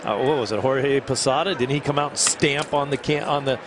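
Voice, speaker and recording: A man's voice: mid-range voice, fairly even intonation, fairly quick, noisy background. A man talking as a baseball color analyst in the booth, rambling through anecdotes with repeated words. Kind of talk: broadcast booth banter.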